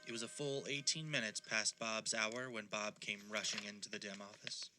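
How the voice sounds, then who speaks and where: A man narrating a scripted audio drama in a theatrical storybook style with deliberate phrasing. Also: fairly low pitch, fairly even intonation, over music.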